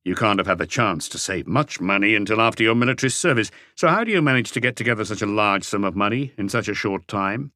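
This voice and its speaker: A man voicing a calm, terse police inspector who questions in a measured, probing way for an audiobook. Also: on the low side, no room sound, studio quality.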